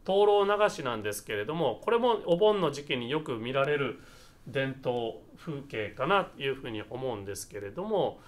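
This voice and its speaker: A man's voice: medium pitch, big pitch swings, moderate pace. A man from Nagasaki, Japan, giving an explanatory Buddhist talk as a minister, conversational and going step by step. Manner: conversational, explains step by step, often ends phrases seeking agreement.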